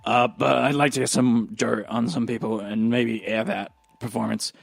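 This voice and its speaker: A man with a gravelly, husky voice improvising in character in a tabletop game, halting and repeating words. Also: mid-range voice, fairly even intonation, dry recording. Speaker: player in a tabletop roleplay game.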